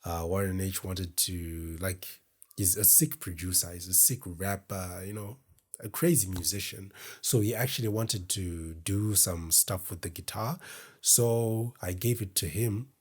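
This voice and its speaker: A deep, low-pitched man with a husky, grainy edge speaks slowly to his camera. He rambles in a reflective, self-deprecating way, circling back to his thoughts as he goes. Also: a little mellow. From Zimbabwe. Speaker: podcast host. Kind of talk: casual solo talk to camera. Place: indoors in front of a camera.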